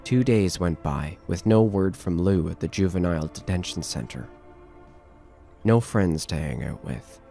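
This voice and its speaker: A man's voice: sits low, vocal fry, a little mellow. A man reading scripted narration for a horror audio drama.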